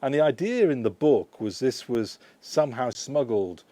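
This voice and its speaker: A man's voice: mid-range voice, animated, normal speed, dark tone, dry recording. A man, an author, answering audience questions at a book launch in a shop, talking in a rambling, conversational way full of "you know" and "right?". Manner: digressive, self-correcting. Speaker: author presenting his book.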